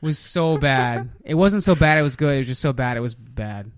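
A man's voice: mid-range voice, moderate pace. A man co-hosting a casual chat podcast, speaking bluntly and swearing now and then. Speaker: podcast co-host. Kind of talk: casual chat about movies and games.

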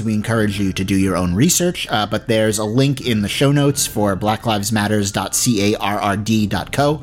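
A man hosting a podcast, presenting and interviewing, somewhat halting when he speaks off the cuff. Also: lowish voice. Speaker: podcast host.

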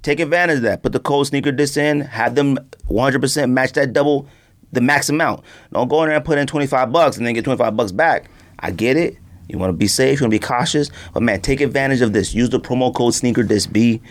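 A man hosting a podcast, doing a casual, slangy ad read with off-the-cuff hype and repeated pitches to the listener. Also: fast. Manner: slangy, often addressing the listener as B or fam. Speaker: podcast host.

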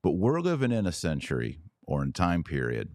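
A man from the United States with a low voice hosts a podcast. His commentary is informal and punchy, with firm emphasis. The recording is very dry. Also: huge pitch swings, brisk, darkish tone, dry recording. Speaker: podcast host and author.

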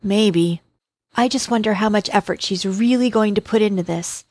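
A man's voice: high voice, normal speed, darkish tone, dry recording, pitched above the performer's own voice. An indignant young woman telepath fires off emphatic, questioning lines, put on by a male narrator. The voice sits far above his own. It has the close feel of an audiobook reading.

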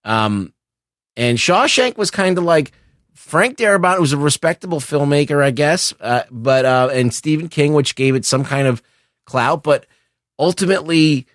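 A man's voice: fairly high pitch, animated, normal speed, fairly bright tone, no room sound, clean studio sound. A middle-aged man chatting casually about films as a podcast co-host, circling back over his phrases and swearing now and then. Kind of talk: casual opinionated film chat.

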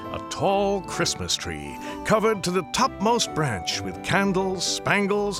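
An actor narrating a dramatized Western Christmas tale in a measured, wry, literary manner. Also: male voice, mid-range voice. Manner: measured, expressive, literary phrasing. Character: male storyteller of an old Western Christmas tale, wry and folksy.

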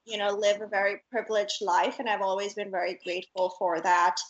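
A woman from Pakistan tells her own story as an interview guest. She speaks conversationally at a middle pitch, with a reflective manner and slightly dull sound. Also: level tone, a little fast. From Karachi, Pakistan. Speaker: interview guest, fashion influencer. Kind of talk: casual personal storytelling.

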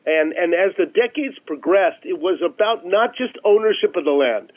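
A man with a fairly high voice speaks as an interview guest over a thin telephone connection. He makes his case emphatically, building it with repetition and rhetorical questions. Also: animated, normal speed. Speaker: interview guest, nonprofit chief executive. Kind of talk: radio interview, persuasive storytelling. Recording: tinny, narrow-band telephone line.

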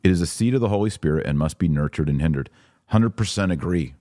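A man's voice: low-pitched, lively intonation, quick pace, dark tone, dry recording, studio quality. A man hosting a podcast of religious commentary, reading aloud and reacting in an emphatic, informal, argumentative way.

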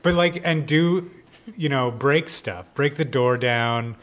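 A man's voice: medium pitch, takes it slowly, fairly bright tone. A man hosting a casual interview with joking, irreverent banter. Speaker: show host, interviewer.

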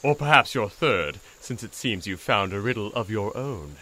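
An actor voicing an enormous talking toad, dignified, cryptic and dryly witty, with formal, measured speech in an audio drama. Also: male voice, lowish voice, lively intonation, sharp tone.

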